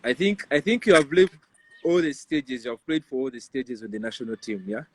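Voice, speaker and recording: A male interviewer with a Ugandan accent and a mid-pitched voice. He asks questions in an informal, conversational way, often tagging them at the end. The sound is dry, with very little echo. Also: expressive, fairly quick. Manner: informal, often tags sentences with "yeah".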